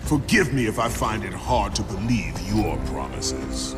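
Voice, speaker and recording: A man playing a bitter, angry warrior in a video game, gruff and defiant with a gravelly voice. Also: on the low side, animated, measured pace, over music. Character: bitter, angry male warrior in servitude to gods. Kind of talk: dramatic video game acting.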